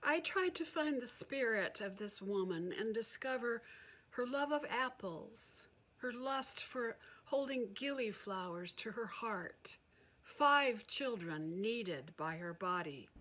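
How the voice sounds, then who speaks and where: Slow, solemn older woman reading poetry. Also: a little high, animated, a bit bright. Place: indoors on a video call.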